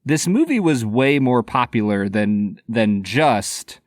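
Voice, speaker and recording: Lively, teasing podcast co-host man. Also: mid-range voice, dry recording, studio quality. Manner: teasing, quick to laugh. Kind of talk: casual podcast chat.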